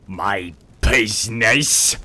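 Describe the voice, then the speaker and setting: A man's voice: fairly low pitch, drawn-out words, sharp tone, breathy edge, heavily acted. A man voicing a quarrelsome male spirit with a heavy German accent, speaking broken, bossy English in a storybook reading. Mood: indignant. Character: gruff insolent male spirit, squat and quarrelsome. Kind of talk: audiobook character voice.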